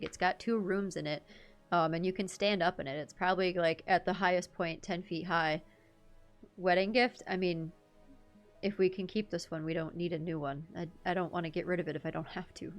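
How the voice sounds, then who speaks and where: A woman in her twenties chatting casually with her live chat, rambling and laughing often. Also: on the low side. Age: 20s. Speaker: streamer.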